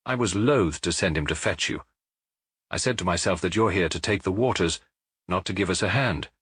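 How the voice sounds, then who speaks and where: A man's voice: sits low, normal speed, fairly bright tone, slightly rough, no echo, studio quality, heavily acted. A man voicing a jovial, talkative middle-aged police chief superintendent in an audiobook. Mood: businesslike. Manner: hearty, talkative, reporting.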